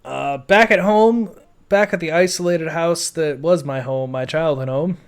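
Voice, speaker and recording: A man with a smooth, clear voice pitched a little high records a personal audio blog. He talks casually and conversationally, looping back over his thoughts with plenty of "like" and "you know" as he goes. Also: measured pace. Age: adult. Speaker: podcaster.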